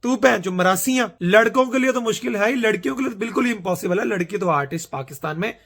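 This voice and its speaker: High-voiced Pakistani man musing aloud. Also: animated, fast talker, vocal fry, fairly bright tone. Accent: Pakistani Urdu. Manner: earnest, rambling, self-mocking. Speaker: vlogger. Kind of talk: casual monologue mixing Urdu and English.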